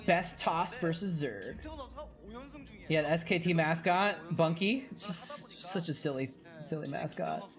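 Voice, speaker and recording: A man's voice: on the high side, slow, pauses often. A man chats loosely on a live stream. His voice sounds worn from hours of talking, and he keeps restarting sentences and answering viewers on the fly. Music plays steadily underneath him. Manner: rambling, self-correcting, reads and answers chat aloud. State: voice tired after hours of talking. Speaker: streamer and former game caster. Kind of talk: casual live-stream chat while watching old matches.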